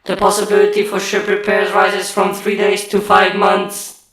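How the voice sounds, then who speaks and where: A reader voicing a ship's onboard computer in a formal, clipped monotone with an echoey robotic treatment. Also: mid-range voice, moderate pace. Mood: clinical. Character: flat-voiced ship computer, emotionless. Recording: echoey roomy sound.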